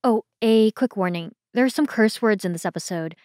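A woman's voice: medium pitch, a little fast, over loud music. A woman hosting a podcast, talking in a friendly conversational way. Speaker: podcast host.

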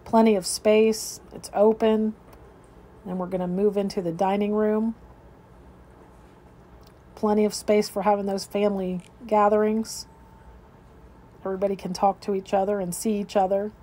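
A woman's voice: medium pitch, level tone, normal speed, pauses often, dark tone. A woman real estate agent narrating an informal, unscripted walkthrough of a home, describing each room as she moves through it. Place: indoors, moving through rooms of a house.

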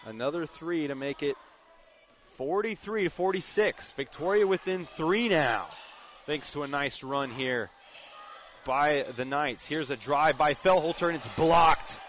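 Higher-pitched young man calling basketball on radio. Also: measured pace, a bit bright. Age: young adult. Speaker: sports play-by-play announcer. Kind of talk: live radio basketball commentary. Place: indoor high school basketball gym. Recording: clear gym noise behind commentary. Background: game noise, bouncing basketball.

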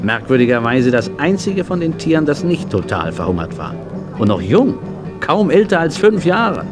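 Animated country vet, standard German. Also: male voice, on the high side, moderate pace, over music. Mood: earnest storytelling. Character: jovial, soft-hearted country veterinarian. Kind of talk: children's radio play acting.

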